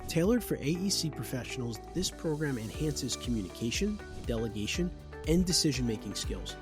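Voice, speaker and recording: A man's voice: medium pitch, expressive, normal speed, music in the background. Polished man reading an ad. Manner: polished, promotional delivery. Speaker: ad announcer. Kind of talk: scripted ad read.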